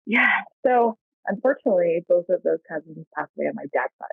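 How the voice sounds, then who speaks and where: Brief: American woman, podcast guest, gravelly and rambling, dry phone-quality audio. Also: lowish voice, animated, a little fast, dry recording. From San Francisco Bay Area, USA. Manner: reflective, rambling, heavy on fillers. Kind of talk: casual interview chat. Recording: tinny phone-like dry line.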